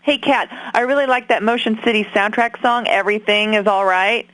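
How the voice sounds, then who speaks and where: A woman's voice: medium pitch, fairly even intonation, a little fast, a bit bright, no room sound, natural voice, poor audio. A woman playing a bubbly caller asking the DJ for a song.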